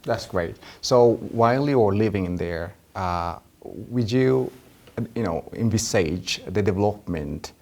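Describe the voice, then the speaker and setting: A man hosts a TV interview in a low voice with a gravelly edge. He speaks formally but hesitantly, leaning on fillers as he frames his questions. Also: lively intonation, unhurried, a little mellow. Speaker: TV show host. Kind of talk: hosting a TV interview.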